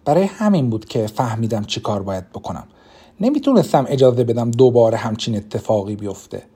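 A man's voice: medium pitch, lively intonation, mellow, breathy edge. A man voicing a defiant young woman archer who speaks fiercely and commandingly, in an audiobook. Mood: resolute. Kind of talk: audiobook character reading.

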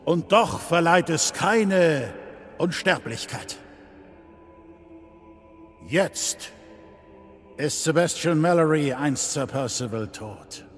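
Slow, solemn knightly leader. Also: male voice, a little high, expressive, soft background music. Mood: grave, reverent. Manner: solemn, grave, measured. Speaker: voice actor. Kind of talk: ceremonial oration in a dubbed game drama.

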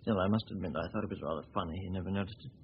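An actor playing a confident, self-assured writer turned detective, in 1940s radio acting. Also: male voice, low voice, racing. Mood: cool. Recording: dull lo-fi 1940s radio recording with faint hiss.

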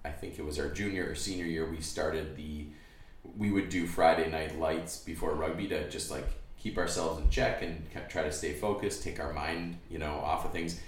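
Deep-voiced American man, distant in a roomy space. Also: fairly even intonation, normal speed. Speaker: podcast co-host. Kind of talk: casual podcast banter. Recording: roomy, distant mic, faint noise.

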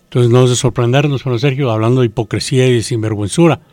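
A man's voice: medium pitch, fairly even intonation, rapid-fire, a little mellow, dry recording. Man commenting in a dry, close sound. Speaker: on-air commentator. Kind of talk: conversational political commentary.

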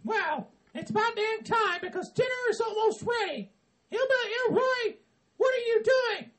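A man's voice: extremely high pitch, animated, moderate pace, fairly bright tone. A man voicing a bossy hillbilly woman who shrilly orders everyone around.

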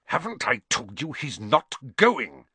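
A man's voice: mid-range voice, sing-song, takes it slowly, slightly rough, dry recording, heavily acted. A man doing a blustering bullying uncle. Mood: hissing. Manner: hissing and yelling, indignant.

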